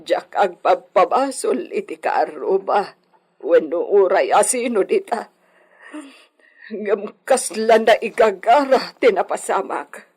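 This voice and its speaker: A woman playing a worried village mother with a husky voice and an Ilocano accent in a radio drama. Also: mid-range voice, animated, slow pace, darkish tone.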